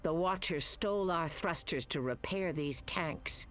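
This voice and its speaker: A middle-aged woman playing a commanding, supportive hologram captain who mentors cadets in an animated game. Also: lowish voice, a little slow, a little hiss or hum. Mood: matter-of-fact.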